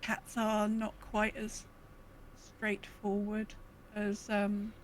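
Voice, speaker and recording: A woman co-hosting a podcast, chatting casually in a measured, agreeable manner. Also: mid-range voice, completely flat delivery, slight background noise. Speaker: podcast co-host. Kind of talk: casual chat.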